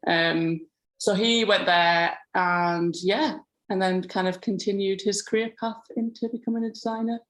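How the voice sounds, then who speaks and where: A woman, telling stories in a relaxed, rambling way as a podcast guest. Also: medium pitch, normal speed, rough recording. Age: 30s. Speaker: podcast guest, grower and florist. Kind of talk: casual interview chat.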